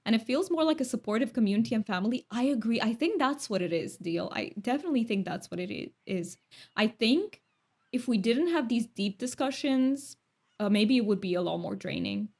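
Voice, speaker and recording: A woman's voice: a little high, animated, fairly quick, vocal fry, a little mellow. Chatty woman streamer. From Romania. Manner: chatty, thoughtful, laughs often. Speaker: streamer reading chat. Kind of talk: casual chat.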